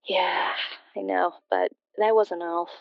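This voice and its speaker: A bereaved daughter phoning a late-night radio drama. Her delivery is reflective and halting, with a narrow, level pitch and a clean, smooth tone. The sound is close and dry. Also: steady tone, no echo. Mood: wistful, wondering. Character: bereaved daughter, wistful and sincere, confiding a ghostly story. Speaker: caller to a radio show. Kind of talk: scripted audio drama, emotional personal storytelling.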